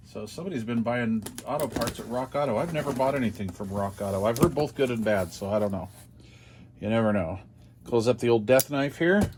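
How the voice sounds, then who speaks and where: A man's voice: mid-range voice, noisy background. An older American man chatting casually as he hosts a hobby unboxing video, rambling along in a folksy, self-deprecating way.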